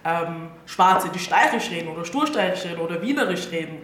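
A woman's voice: lowish voice, lively intonation, brisk, fairly bright tone. A woman with an Austrian accent gives informal opinions as a panelist, colloquially dropping English words into her German. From Styria, Austria. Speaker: panelist, social media activist. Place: indoor public event venue. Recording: roomy echo, clear background noise.

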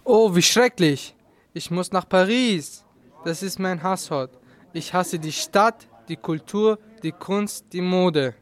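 A man's voice: high-pitched, takes it slowly. A young male pupil playing a grumbling young man in an amateur school radio play. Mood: indignant. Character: grumbling young man, food lover, hates Paris.

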